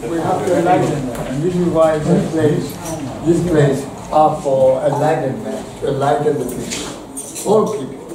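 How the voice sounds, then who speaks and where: A man with a Cypriot accent hosting a casual conversation, earnest and full of halting restarts. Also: on the high side, background noise. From Cyprus. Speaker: host of a theatre venue. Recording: echoey room with crowd chatter.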